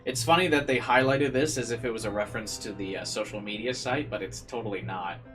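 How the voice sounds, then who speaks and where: A man's voice: fairly low pitch, fast talker, fairly bright tone, soft background music. A man doing casual livestream commentary and reading a visual novel aloud, rambling and incredulous in manner. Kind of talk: casual livestream commentary mixed with read-aloud game narration.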